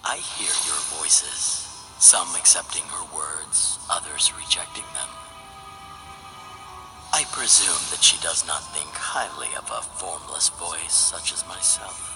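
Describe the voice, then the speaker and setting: Very deep, solemn formless spirit. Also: male voice, rough recording. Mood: solemn, curious. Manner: measured, formal, solemn. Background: game soundtrack music.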